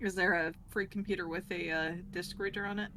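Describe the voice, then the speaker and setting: A woman's voice: lowish voice, steady tone, fairly quick, bright, forceful tone. A woman chatting casually as a player in a tabletop roleplaying game. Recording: faint noise and room ambience.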